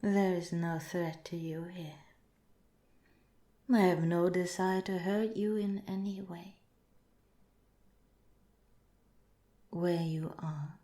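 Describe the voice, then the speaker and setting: Slow, softly whispering alien researcher played by a woman. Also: fairly low pitch. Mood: calm, reassuring. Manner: measured, formal, detached, scientific phrasing. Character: calm, clinical, curious alien researcher studying a captured human. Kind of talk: ASMR roleplay, softly spoken with whispering. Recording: roomy, echoing voice.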